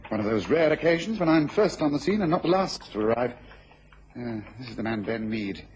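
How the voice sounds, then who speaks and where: Man acting detective, English accent, animated intonation, incisive, authoritative, observant, radio drama, faint noise. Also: medium pitch, fairly quick, natural voice. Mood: brisk, absorbed. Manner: incisive, muttering deductions, authoritative. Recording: noisy old radio recording.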